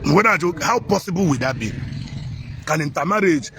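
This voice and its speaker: A Nigerian man conducting a street interview, asking questions briskly and nodding along with short affirmations. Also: fairly high pitch, animated, background noise. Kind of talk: street interview, mixing English and Igbo.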